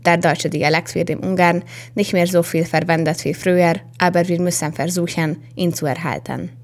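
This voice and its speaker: A young woman reporter reading a scripted television news voice-over in an even manner. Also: on the low side, moderate pace. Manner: even, read-out delivery.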